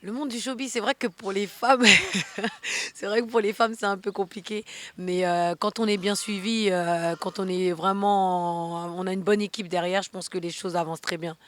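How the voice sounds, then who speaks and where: A woman's voice: mid-range voice, fairly even intonation, normal speed. A young woman, a singer answering interview questions casually and repeating phrases for emphasis. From France.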